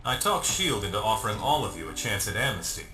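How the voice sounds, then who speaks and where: A man's voice: on the low side, sing-song. Commanding, echoey male superhero. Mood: confident command. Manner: brisk, commanding. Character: confident commanding male armored superhero leader. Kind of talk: video game cutscene acting. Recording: echoey, under loud music.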